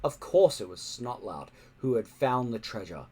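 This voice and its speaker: A man's voice: medium pitch, sing-song, moderate pace, darkish tone, husky. A man reading a children's story aloud in a dramatic, emphatic narrating style. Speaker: audiobook-style story reader.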